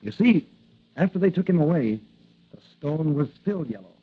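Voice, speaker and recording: A man's voice: a little high, expressive, moderate pace, breathy edge. An actor playing a brave, determined young patrolman who secretly fights crime, in 1940s radio drama style. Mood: earnest, convinced. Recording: tinny, band-limited old radio recording.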